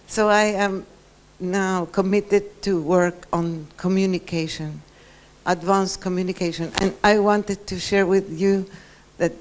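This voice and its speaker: A middle-aged woman from Peru gives an earnest reflection to a conference workshop, pausing often as she speaks. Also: medium pitch, darkish tone.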